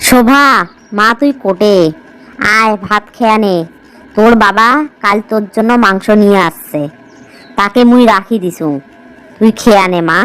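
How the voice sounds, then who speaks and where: A village stepmother from a cartoon morality tale, acted by a woman, bossy and plain-spoken. Her voice is clean and smooth at a middling pitch, with a rustic northern Bangladeshi accent. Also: normal speed, pauses often, a bit bright, close to an everyday voice, poor audio. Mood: doting. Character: harsh, scolding village stepmother who favours her own daughter. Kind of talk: amateur cartoon story voice acting.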